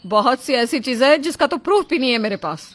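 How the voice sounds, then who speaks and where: A woman playing a scolding, domineering middle-aged mother-in-law in a dramatized Hindi moral story, with sharp, accusing delivery. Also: sits high, lively intonation, fast. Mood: complaining.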